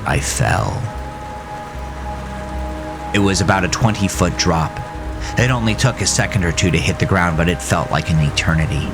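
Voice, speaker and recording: A man playing a haunted, bitter farmer who narrates his horror story in a grave, brooding, gravelly voice. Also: low voice, moderate pace, long pauses, over music. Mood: tense, grim. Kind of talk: scripted first-person horror narration.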